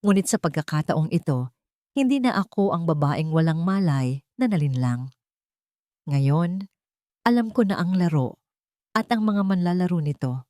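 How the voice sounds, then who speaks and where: A woman's voice: on the low side, lively intonation, moderate pace, pauses often, warm tone, dry recording, studio quality. A woman reading a scripted first-person story in a dramatic narration style.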